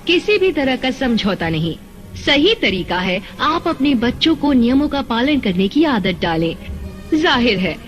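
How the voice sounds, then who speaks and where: A woman playing a strict, principled mother giving a firm, preachy lecture in an animated film dub, in dull sound. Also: sits high, unhurried, soft background music.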